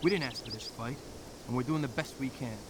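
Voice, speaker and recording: An actor playing a young, defiant but earnest American army corporal with a brash, plainspoken manner and an American accent in a game cutscene. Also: male voice, mid-range voice, fairly quick, background noise, lightly acted. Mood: defensive. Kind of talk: video game cutscene voice acting.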